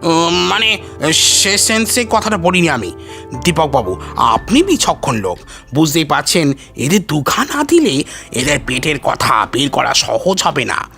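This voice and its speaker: A man acts out a blustering yet timid policeman for an audio drama, in a bright, high voice that swings about. He hems, hesitates and defers apologetically, with faint music behind him. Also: big pitch swings, unhurried, gravelly, exaggerated voice. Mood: defensive, placating. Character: blustering but timid male police officer, flustered and deferential. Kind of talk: Bengali audio story acting.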